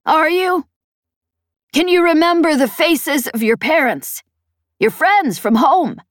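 A woman's voice: sits high, lively intonation, slow, dry recording, studio quality, voice pushed up. A woman voicing a tough, commanding pirate boss in an audiobook, pressing and persuasive as she taunts. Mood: taunting, pressing. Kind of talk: audiobook character dialogue.

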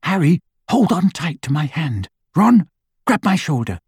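A man voicing a resourceful teenage girl witch in an audiobook, giving hushed, urgent instructions. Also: a little high, big pitch swings, a little slow, husky, dry recording, voice pushed up, full character voice. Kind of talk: audiobook character voice.

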